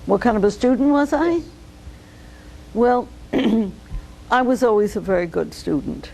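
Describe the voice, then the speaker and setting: A woman's voice: on the high side, expressive, gravelly, warm tone, poor audio. Older woman recalling her childhood. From United States. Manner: measured, reflective storytelling. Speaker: interviewee, physician. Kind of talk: oral history interview.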